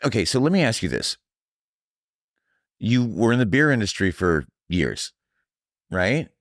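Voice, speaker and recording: Deep guy hosting a bar podcast, swoopy lively voice, jokes around, dry sound. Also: a little slow, no echo. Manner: teasing, jokey, steers the talk back. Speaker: podcast host. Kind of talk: casual interview chat.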